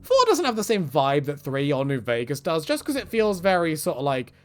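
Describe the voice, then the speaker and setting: A man's voice: on the high side, huge pitch swings, brisk. A man giving casual, joking commentary on video games in a rambling, self-deprecating, tongue-in-cheek way. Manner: swears casually.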